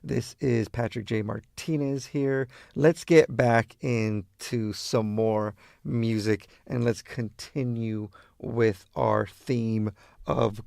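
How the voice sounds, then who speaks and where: A man's voice: mid-range voice, vocal fry, a little mellow, no echo, clean studio sound. A man from East Los Angeles casually hosting a radio show between songs.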